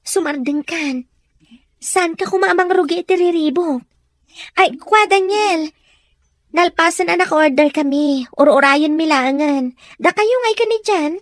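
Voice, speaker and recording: A woman as a very high-voiced, concerned schoolgirl friend. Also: a little slow, dry recording. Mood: chiding. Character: inquisitive, concerned young school friend of a teenage girl. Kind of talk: Ilocano audio drama acting.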